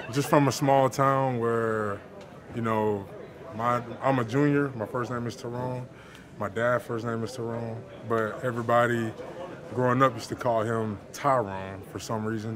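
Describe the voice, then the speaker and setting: A young man, a football player, answering reporters in a locker room after a game in a relaxed, easygoing way. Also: mid-range voice. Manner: relaxed, laid-back, with filler words and light laughs. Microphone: handheld reporter microphones. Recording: busy locker room crowd noise. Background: locker room chatter.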